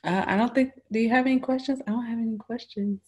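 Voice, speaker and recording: A woman's voice: on the high side, steady tone, quick pace, darkish tone. A woman joining as an audience member on an online call, chatting casually. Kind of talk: casual chat. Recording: muffled lo-fi remote call audio.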